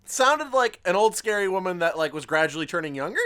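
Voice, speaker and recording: A woman's voice: fairly low pitch, big pitch swings, brisk, a bit bright. A woman sidekick asking teasing, skeptical questions in casual banter.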